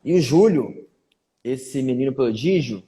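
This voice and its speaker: A man's voice: mid-range voice, lively intonation, a little slow, mellow. A man, a neurologist, hosting a casual live-stream interview in a chatty way, often tagging his sentences with little check-ins. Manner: chatty, repeats fillers like "né". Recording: echoey room with long reverb.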